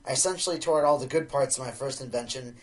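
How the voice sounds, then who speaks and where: An actor playing an excitable, self-important pony inventor who fancies being a spy, in a radio play with a theatrical delivery. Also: mid-range voice, fast, natural voice. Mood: boastful, evasive. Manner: theatrical, hesitant fillers amid boasting. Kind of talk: amateur radio play acting.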